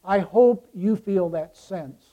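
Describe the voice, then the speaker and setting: A man raised in Ecuador by American parents preaches as a pastor, speaking deliberately in emphatic phrases that trail off softly. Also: high-pitched, muffled recording. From Ecuador, raised by American missionary parents. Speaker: pastor preaching to his congregation. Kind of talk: sermon.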